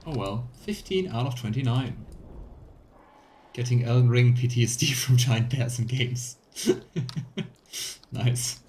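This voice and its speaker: A man's voice: mid-range voice, slow, long pauses, mellow, a little hiss or hum. A man in his thirties giving casual, chatty let's play commentary with a wry, mock-complaining manner. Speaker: game streamer. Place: small room indoors. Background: game music.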